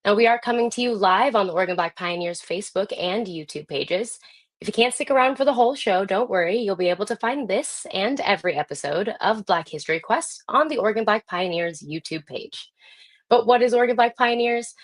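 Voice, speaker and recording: A woman's voice: mid-range voice, animated, fairly quick. A woman hosting a live online program, delivering a scripted introduction to viewers. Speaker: host.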